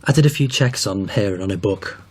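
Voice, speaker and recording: Slightly husky, sceptical man. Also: fairly low pitch, animated, fairly quick, darkish tone. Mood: suspicious. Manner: sceptical, questioning. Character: suspicious, probing son guarding the household. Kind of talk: naturalistic radio drama acting.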